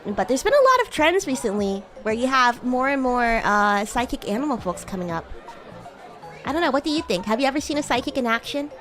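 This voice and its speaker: Girl streamer doing a bratty demon classmate bit, super bouncy pitch, playful rambling, faint music behind her. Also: very animated, fairly bright tone, a faint crowd. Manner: playful, halting, giggly. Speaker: streamer and VTuber host. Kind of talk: improvised roleplay livestream chat.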